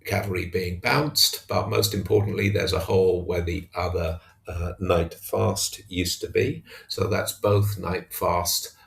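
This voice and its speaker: Male wargame commentator, unscripted and hesitant, low pitch, unhurried pace. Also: fairly even intonation, creaky. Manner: hesitant, halting delivery. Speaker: tabletop wargamer narrating own battle report. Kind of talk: unscripted match recap commentary.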